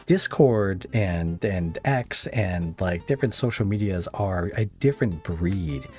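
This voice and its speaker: A man's voice: deep voice, expressive, measured pace, darkish tone, breathy edge, faint music behind. A man hosting a podcast interview in casual, unscripted, somewhat tentative conversation.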